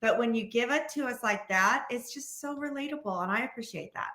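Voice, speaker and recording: American lady podcast host, highish bright voice, chatty and gushing, bit of room echo. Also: a little fast. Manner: effusive, chatty. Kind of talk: casual podcast hosting. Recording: roomy echo on the voice.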